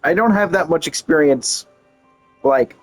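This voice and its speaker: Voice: medium pitch, animated, a little slow, poor audio. A streamer reacting to a game in casual commentary, amused, informal and rambling. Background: faint background music.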